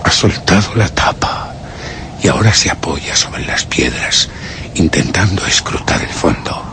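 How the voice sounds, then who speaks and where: A man with a Castilian accent playing a haunted, obsessive gentleman who narrates a horror radio play in a grave, suspenseful, theatrical way. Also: low voice, lively intonation, unhurried, whispered. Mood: stunned dread. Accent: Castilian Spanish. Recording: dramatic music behind the voice.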